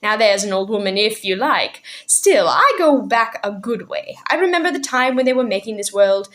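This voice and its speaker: A woman voicing a tiny, ancient, shrill old shopkeeper who turns from soft menace to sweetness in a storybook reading. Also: expressive, normal speed, close to an everyday voice. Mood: chatty. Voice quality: shrill, shrieking.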